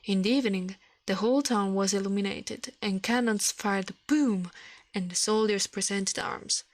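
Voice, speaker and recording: A woman's voice: medium pitch, normal speed, no room sound. A woman with an Italian accent, a native Italian speaker, reading a story aloud in ASMR style. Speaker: livestream host, storyteller.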